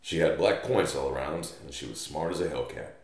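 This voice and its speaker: A rugged Old West cowboy, as a male narrator reads him with an extremely low voice. He is reassuring and folksy, like a storyteller in a western tale, heard in an echoey room. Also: a little fast. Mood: reflective. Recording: roomy, echoey room sound.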